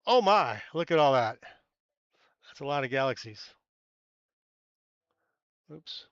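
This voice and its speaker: A man's voice: mid-range voice, big pitch swings, slow, fairly bright tone, smooth, dry recording, muffled recording. Chatty man streaming from a tent. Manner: rambling, chatty, thanks viewers by name, many fillers. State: a little stuffy-nosed. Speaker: amateur astronomy livestreamer. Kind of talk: casual live stream chat with viewers. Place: inside a small bubble tent outdoors.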